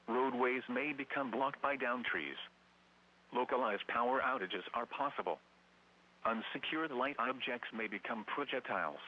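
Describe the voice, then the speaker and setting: A synthetic male text-to-speech voice reading scripted emergency weather warnings as a radio announcer, formal and measured. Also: mid-range voice. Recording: dull lo-fi weather radio, humming noise.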